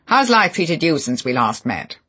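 Guy doing a sneering pushy bully, loud, higher than his normal voice, kinda husky. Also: big pitch swings, normal speed, exaggerated voice. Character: sneering, bullying man, rough and mocking.

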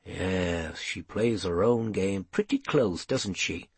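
A man voicing a calm, enigmatic male investigator in an audiobook story, measured and unruffled. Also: deep voice, breathy edge, no room sound, pitched below the performer's own voice, exaggerated voice. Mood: cool, guarded.

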